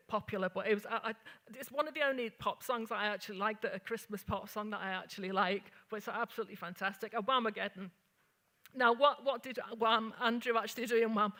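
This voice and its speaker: A woman's voice: fairly high pitch, sharp tone. A woman, finishing a live talk and introducing the next speaker in a chatty way. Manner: chatty, lots of fillers and restarts. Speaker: event host and speaker. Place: café event space.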